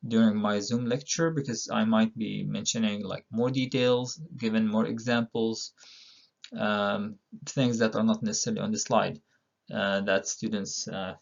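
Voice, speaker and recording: A middle-aged man from Palestine introducing an online university course as its instructor, with a relaxed delivery full of "uh" and "um". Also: lowish voice, moderate pace, gravelly, lo-fi sound. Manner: relaxed, with frequent "uh" and "um" fillers.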